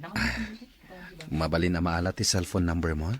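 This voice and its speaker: An actor playing a smooth-talking, self-excusing man in a radio drama, with a Filipino accent and a husky voice. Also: low-pitched, huge pitch swings, normal speed. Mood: flirtatious.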